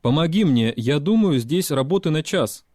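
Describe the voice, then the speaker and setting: Man reading polite learner role, plain mid voice, dry studio sound, measured delivery. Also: fairly high pitch, lively intonation, warm tone, dry recording. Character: male foreign learner Carl, adult. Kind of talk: scripted language-lesson dialogue.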